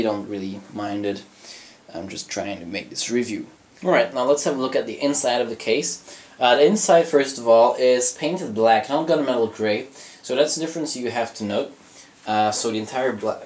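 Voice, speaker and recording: A young man with a cold talks through a hardware review at his desk, his voice slightly scratchy. He sits at an ordinary middle pitch and rambles casually, filling gaps with ums and uhs and correcting himself mid-sentence. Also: darkish tone. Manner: rambling, many fillers and self-corrections. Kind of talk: casual product review walkthrough. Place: indoors at a desk, handling a PC case.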